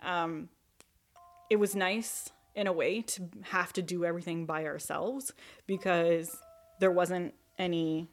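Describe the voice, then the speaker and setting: A young woman and new mother telling her pregnancy story in a relaxed interview, with many fillers and restarts. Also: lowish voice, measured pace, faint music behind. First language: not French. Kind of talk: personal testimony interview.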